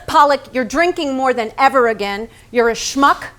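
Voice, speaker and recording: Bitter wife for a staged play, female actor, higher-pitched, sardonic, biting, possessive. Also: animated, normal speed, fairly bright tone. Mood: cold, scornful. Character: Lee, embittered painter's wife, sharp-tongued, possessive, exasperated. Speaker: stage actress. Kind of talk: theatrical staged reading, narration mixed with dialogue.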